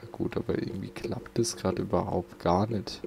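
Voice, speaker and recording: A man's voice: deep voice, fairly even intonation, moderate pace, creaky. A man giving casual gameplay commentary as a let's play host, muttering to himself.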